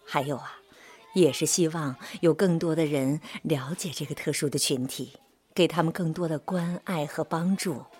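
A woman's voice: low-pitched, normal speed, a little mellow, breathy edge. An actress playing a devoted, long-suffering middle-aged mother in a radio drama, with a tender, emotive, pleading delivery. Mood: gentle, earnest.

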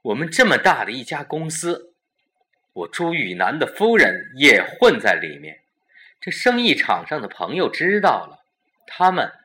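A man's voice: mid-range voice, huge pitch swings, a little slow, sharp tone, pitched above the performer's own voice, full character voice. A man playing a domineering, impatient older company-boss husband, overbearing and exasperated, in a Chinese audio drama. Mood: irritated.

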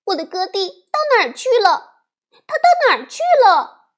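A woman's voice: very high-pitched, wildly swooping pitch, moderate pace, a bit bright, pitched above the performer's own voice, full character voice. Woman doing a weepy little bear girl. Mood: upset, tearful. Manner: tearful, pleading. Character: little girl bear cub grieving her goldfish.

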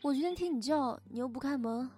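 A woman voice actor playing a caring, bossy young friend with a sharp tongue in an audio drama. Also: high-pitched, fast talker, close to an everyday voice. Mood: worried, caring. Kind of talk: Chinese audio drama acting. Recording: prominent acoustic guitar music behind.

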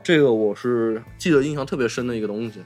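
A man's voice: medium pitch, quick pace, a little mellow, soft background music. A man with a Mainland Chinese Mandarin accent hosting a casual film discussion, explaining and rephrasing his points as he goes. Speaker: podcast host.

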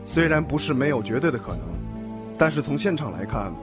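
A man playing a composed police detective in a radio drama, questioning in a measured, formal way. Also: on the high side, expressive, natural voice. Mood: calm, probing. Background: music.

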